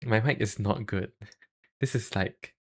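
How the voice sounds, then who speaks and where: Slow, halting young Singaporean man. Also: on the low side. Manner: halting, self-deprecating, deliberately slowed. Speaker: YouTuber and live streamer. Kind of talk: casual livestream chat. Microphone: cheap USB microphone.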